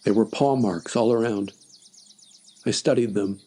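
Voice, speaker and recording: A man's voice: on the low side, a little slow, mellow, breathy edge. A man reading scripted first-person horror narration as a story podcast narrator.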